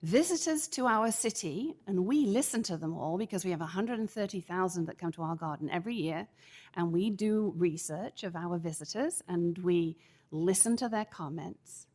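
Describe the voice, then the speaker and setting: A woman's voice: on the low side, animated, darkish tone. Middle-aged woman testifying with a big, projecting voice. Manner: measured, emphatic, deliberate. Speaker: public commenter at city council. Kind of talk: formal public testimony. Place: council chamber. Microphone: podium microphone.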